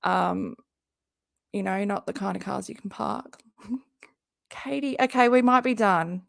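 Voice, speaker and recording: Hoarse, gravelly woman hosting a live reaction stream, jokey and chatty, dry close sound. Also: mid-range voice, fairly even intonation, measured pace, no room sound. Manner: chatty, jokey, talks to her viewers. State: hoarse, often losing her voice. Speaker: YouTube live-stream host and comedian.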